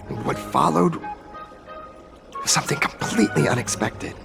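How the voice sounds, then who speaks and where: A man's voice: mid-range voice, moderate pace, creaky, music in the background. An actor playing a nervous, timid artistic man in a video game, stammering and apologetic, with hesitant, flustered delivery. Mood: uneasy recounting. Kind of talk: video game character acting.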